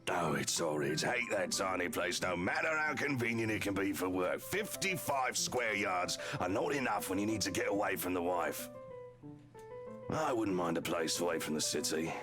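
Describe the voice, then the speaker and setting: A man playing a jovial, boastful married police officer who flatters socialites, chatty and theatrical, in a video game. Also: low-pitched, normal speed. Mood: chatty grumbling. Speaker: character voice actor in a visual novel. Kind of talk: voiced game dialogue. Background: music.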